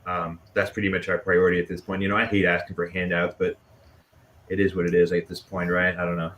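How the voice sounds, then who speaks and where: A man from Dallas with a low voice talks casually over clear background noise. He is a musician answering as a guest, in a halting, modest way. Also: monotone, a bit bright, muffled recording. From Dallas, Texas. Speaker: interview guest, punk band musician. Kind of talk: casual chat.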